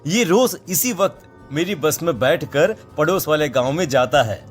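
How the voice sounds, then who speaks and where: A man's voice: a little high, animated, normal speed, faint music behind, natural voice. A man voicing a brazen, villainous bus crewman in a horror cartoon, with a casual manner. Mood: dismissive, reassuring.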